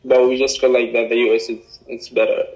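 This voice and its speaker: A young man with an Arabic accent, a native Arabic speaker, answering interview questions in a halting way with many fillers. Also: medium pitch, level tone, a little mellow. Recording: dull lo-fi recording.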